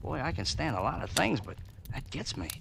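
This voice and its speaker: An actor playing a brash, talkative diner customer in old radio drama style, blunt, folksy and full of exclamations. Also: male voice, mid-range voice, sing-song, brisk, creaky. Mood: disgusted. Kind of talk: 1950s radio drama acting. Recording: noisy, hissy old radio recording.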